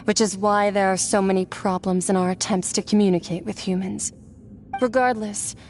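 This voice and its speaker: A woman's voice: medium pitch, darkish tone, a little hiss or hum, lightly acted. An actress playing a detached, enigmatic warrior woman in a video game, musing in a matter-of-fact way. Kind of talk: video game character dialogue.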